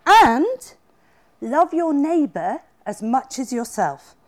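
A woman voicing Jesus as a calm, firm, kindly storyteller who teaches gently in a children's Bible storybook reading. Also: high-pitched, slow pace, full character voice. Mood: gentle, teaching.

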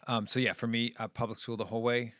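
A man's voice: mid-range voice, little pitch movement, quick pace, a bit bright, no room sound, clean studio sound. A middle-aged man talking casually and earnestly about his schooling and family, often trailing off. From New England, USA. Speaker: guest. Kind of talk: casual conversation.

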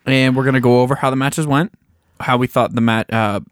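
A man's voice: mid-range voice, fairly even intonation, brisk, clean tone, dry recording. A man hosting a podcast, leading a casual chat in an upbeat way with frequent ums. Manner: upbeat, leads the show, lots of ums. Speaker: podcast host.

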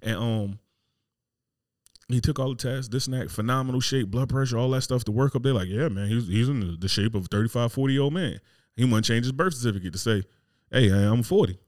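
Fast-talking man in casual chat. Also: mid-range voice, no room sound, clean studio sound. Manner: animated, slangy, rhetorical questions.

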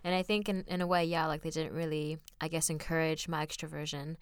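A chatty young woman speaking in a low, fairly level voice. She talks casually and leans on "like" as she tells stories. A faint hiss of noise sits under the recording. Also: level tone, brisk. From France. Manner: chatty, lots of "like" fillers. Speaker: podcast guest. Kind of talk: casual conversational storytelling.

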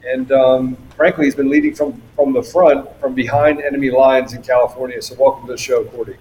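An American man hosts a talk show in a conversational style. His voice sits at a medium pitch, with a faint noise in the recording. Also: little pitch movement, fairly quick, darkish tone, muffled recording. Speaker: show host. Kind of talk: conversational guest introduction.

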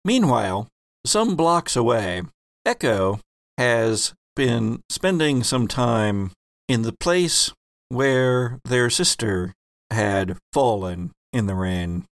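A man narrating as game master of a tabletop roleplaying game, slow and halting, with many fillers. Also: medium pitch, lively intonation, no room sound, studio quality.

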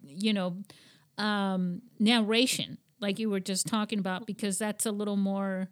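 A woman's voice: medium pitch, unhurried. A woman hosting a casual, conversational interview. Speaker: interview host.

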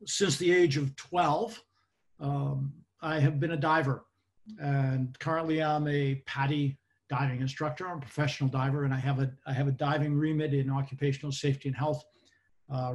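A man in his sixties with a Boston accent, a guest recalling his life in a relaxed interview, talking in a measured way with frequent ums and restarts. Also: on the high side. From Boston, United States. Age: 60s. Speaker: guest, occupational safety expert. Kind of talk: casual interview.

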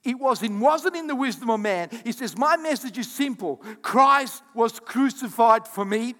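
A man preaching a sermon as pastor, calling out to the congregation and breaking into quick asides and acted-out quotes. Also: very high voice. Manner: animated preaching with audience call-outs and asides. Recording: long hall reverb.

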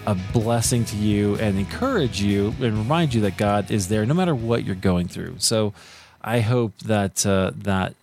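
A man from the United States hosting a podcast, talking in a relaxed, chatty way with many fillers. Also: on the low side, moderate pace, soft background music. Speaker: podcast host. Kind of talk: casual interview chat.

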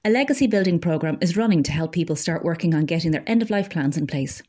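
A woman's voice: lowish voice, animated, fast talker, warm tone. A woman reading scripted local news as a community radio newsreader.

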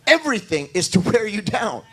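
A male pastor delivers a sermon with a hoarse voice that sits fairly high for a man. He preaches in church, pressing his points with rising, emphatic declarations. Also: very animated, brisk.

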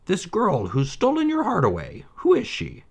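A man voicing Aladdin's mother, a fussy, scolding middle-aged woman with a shrill, exasperated manner. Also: huge pitch swings, normal speed, vocal fry, a little mellow, husky, heavily acted.

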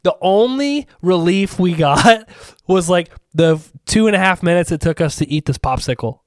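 A young man from Ohio co-hosting a podcast, telling a story in casual chat, animated and profane, a bit nasal and recovering from a sore throat. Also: sits high, normal speed, no echo, studio quality. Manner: profane, animated storyteller, calls everyone dude. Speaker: podcast co-host.

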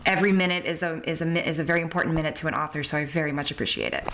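A woman's voice: sits low, fairly even intonation, rapid-fire, fairly bright tone, slight background noise, low-quality recording. A woman hosting a podcast and interviewing a guest.

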